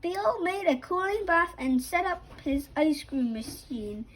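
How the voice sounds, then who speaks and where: Female storybook narrator for children: very high pitch, unhurried, a little halting. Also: expressive.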